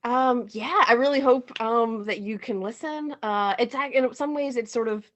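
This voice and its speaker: A woman giving a casual interview as a playwright, speaking haltingly and thinking aloud. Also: a little high, fairly bright tone, dry recording, muffled recording. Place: remote video call.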